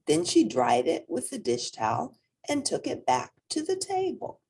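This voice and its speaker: Woman doing kids storytime, raspy n creaky, super animated, talks right to the kids. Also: a little high, moderate pace. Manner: addresses young listeners directly. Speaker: storybook reader. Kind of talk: expressive children's read-aloud.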